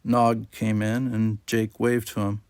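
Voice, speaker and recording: A man's voice: fairly low pitch, level tone, slow, darkish tone, clean tone, dry recording. A man reading a story aloud as scripted audiobook narration. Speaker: amateur audiobook reader.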